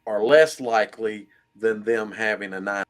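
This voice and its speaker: A man co-hosting a casual sports talk show, speaking in a thoughtful way that trails off quietly. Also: fairly low pitch, normal speed. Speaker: co-host.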